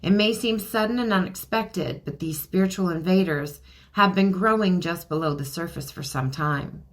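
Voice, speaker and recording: Middle-aged woman, low voice going a bit hoarse, chatty podcast host, lots of ums and giggles. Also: normal speed. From Canada. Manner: chatty, laughs often, many ums. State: losing her voice, a bit hoarse. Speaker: podcast host and card reader. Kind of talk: casual oracle card reading podcast.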